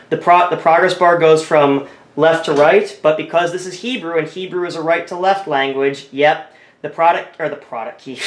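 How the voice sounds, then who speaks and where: A man's voice: a little high, echoey room. A man casually commenting on his own screen recording, thinking aloud, rambling and often correcting himself. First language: English. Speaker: tech video creator.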